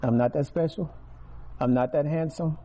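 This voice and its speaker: A man's voice: on the high side, normal speed, warm tone. A man, giving a preaching testimony to a congregation, repeating key words and building volume on stressed phrases. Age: 60s.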